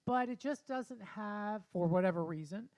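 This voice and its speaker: A man co-hosting a casual theater interview, talking with enthusiastic, drawn-out emphasis. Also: high-pitched, expressive, a little mellow. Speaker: co-host.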